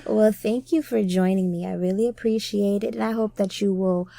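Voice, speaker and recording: A woman's voice: mid-range voice, steady tone, moderate pace, vocal fry, very noisy. A woman hosting a podcast, talking in a casual, reflective way. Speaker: podcast host.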